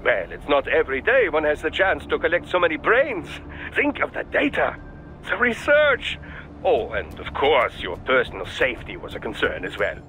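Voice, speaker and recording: An eccentric male scientist from a video game, with a high, bright voice that swoops all over. He is fussy, eager and pedantic, phrasing everything formally and theatrically. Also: huge pitch swings, normal speed, a little hiss or hum, full character voice. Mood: smug, eager. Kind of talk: scripted game acting.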